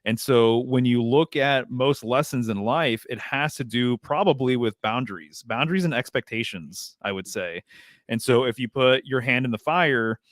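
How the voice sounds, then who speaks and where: A man's voice: medium pitch, normal speed, dry recording, clean studio sound. A man who reads tarot, a podcast guest explaining his ideas in a reflective, casual way with filler uhs. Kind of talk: casual conversational podcast chat.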